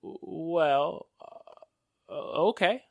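Woman as wry wisecracking friend, animated pitch, slangy, breezy, vintage radio drama. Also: drawn-out words, vocal fry, no echo, clean studio sound, full character voice. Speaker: actor. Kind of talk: 1940s radio drama acting.

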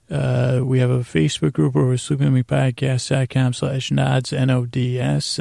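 A man with a gravelly voice hosts a bedtime podcast, droning along in a meandering, halting monologue full of tangents. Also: medium pitch, dark tone, dry recording, clean studio sound. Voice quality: creaky, gravelly. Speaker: podcast host.

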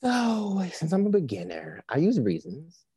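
A man, a musician guest, chatting in a relaxed, slangy, rambling way, his voice a little raspy from not warming up. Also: fairly high pitch, measured pace, dry recording. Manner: laid-back, slangy, rambling. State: slightly raspy, not warmed up. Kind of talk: casual interview chat. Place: home studio with padded walls. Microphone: studio microphone on a video call.

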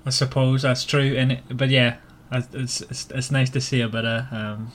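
A man from Northern Ireland with a Northern Irish accent hosts a casual book discussion, talking in a halting way and often repeating words. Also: medium pitch, level tone, slight background noise. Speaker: podcast host.

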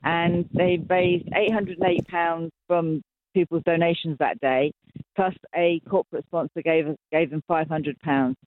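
A woman's voice: deep voice, steady tone, measured pace, phone-quality sound. A woman speaking earnestly and from the heart as a guest on a radio show. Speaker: caller, guest. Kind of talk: casual radio chat.

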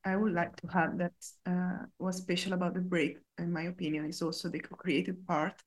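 A woman's voice: lowish voice, little pitch movement, moderate pace. A woman entrepreneur sharing her view earnestly in a discussion, with hesitations and fillers. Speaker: entrepreneur guest. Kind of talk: conversational discussion.

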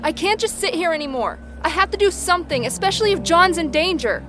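A woman's voice: very high voice, expressive, fast talker, music in the background. A woman playing a worried, impulsive survivor in a zombie audio drama, pleading and distraught. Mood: worried, frantic. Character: worried impulsive female survivor, frantic for comrade.

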